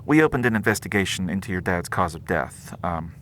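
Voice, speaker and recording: Bright, pressing investigator. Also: low voice, expressive, fairly quick, a little hiss or hum. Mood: brisk, official. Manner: businesslike, probing. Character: brisk, pressing, suspicious investigator. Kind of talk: audio drama acting.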